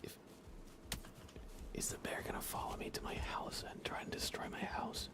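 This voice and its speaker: A man whispering soft, breathy ASMR commentary while livestreaming a video game, often muttering short repeated phrases. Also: moderate pace.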